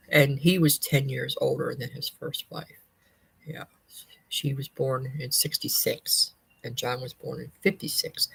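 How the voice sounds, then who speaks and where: A woman's voice: very deep voice, gravelly, fairly bright tone, slightly rough, no room sound, poor audio. A woman in her fifties chatting casually to viewers with a life update, rambling in folksy wording and often repeating or correcting herself. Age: 50s. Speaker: personal vlogger giving a life update.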